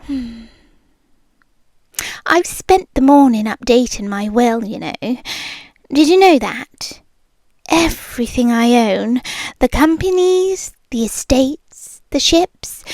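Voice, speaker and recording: A woman's voice: high voice, dry recording, full character voice. A woman playing a whispering possessive British captor. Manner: soft whispered, slow, purring menace. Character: possessive obsessive rich young woman captor. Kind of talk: ASMR roleplay.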